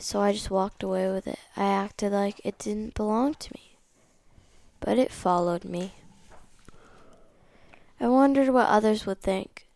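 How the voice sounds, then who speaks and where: A woman's voice: medium pitch, vocal fry, a little mellow. A woman reading a picture book aloud as the host of a read-along.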